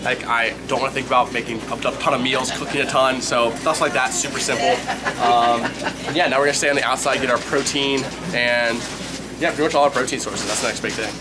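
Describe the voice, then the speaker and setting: A man's voice: medium pitch, fairly even intonation, very noisy. A congested young man with a nasal voice, chatting casually as he walks through a grocery store holding his camera. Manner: chatty, quick, rambling asides. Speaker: fitness vlogger. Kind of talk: casual vlog walkthrough. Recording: loud background music.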